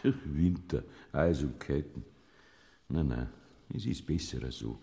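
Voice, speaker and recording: An actor playing an old farmer in a radio play, with a Bavarian accent and an earthy, resigned manner. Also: male voice, rumbling low, slow, gravelly, mellow, heavily acted. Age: older.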